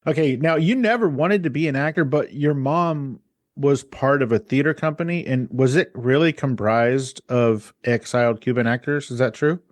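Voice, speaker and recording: A man hosting a podcast, gushing with enthusiasm and quick asides. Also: mid-range voice, no echo. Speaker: podcast host. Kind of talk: casual podcast interview.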